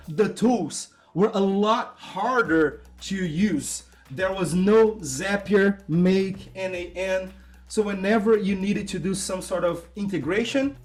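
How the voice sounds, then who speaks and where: A man from Brazil, hosting and giving an upbeat, technical self-introduction in a casual presentation. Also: sits high. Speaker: host.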